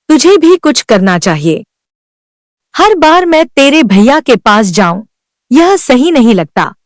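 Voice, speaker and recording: A woman's voice: high-pitched, no echo, studio quality, full character voice. A woman voicing Sunita, a worn-down young village wife who pleads earnestly for help in a cartoon. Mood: frustrated. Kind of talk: Hindi cartoon voice acting.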